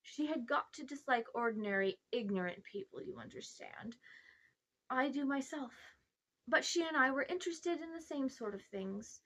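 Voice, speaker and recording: A woman's voice: sits high, expressive, normal speed, a bit bright. A woman voicing a pompous old uncle who dabbles in magic, condescending and evasive, in a storybook reading. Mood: secretive, confiding. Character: pompous, self-important old uncle, sly amateur magician.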